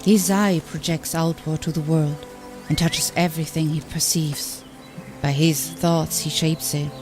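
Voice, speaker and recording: A woman reading poetic fantasy lore as an audiobook, in a solemn, declamatory and measured manner. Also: low-pitched, fairly even intonation, over music. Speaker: audiobook reader.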